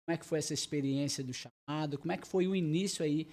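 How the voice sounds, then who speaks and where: A man's voice: fairly high pitch, fast, darkish tone. A man hosting a church podcast, welcoming and interviewing a visiting pastor with formal, polite questions. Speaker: podcast host.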